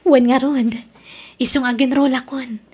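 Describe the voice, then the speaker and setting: A woman's voice: high voice, flat delivery, moderate pace, dark tone, slightly rough. A woman with an Ilocano accent acting in an audio drama as a young student, an evasive and defiant girlfriend. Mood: evasive, explaining.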